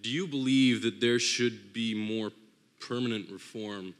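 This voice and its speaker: A man's voice: fairly low pitch, steady tone, a little slow. Young Swiss man asking politely. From Switzerland. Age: young adult. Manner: polite, formal, hesitant. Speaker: student asking a question. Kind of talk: audience question. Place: university hall. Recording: reverberant hall.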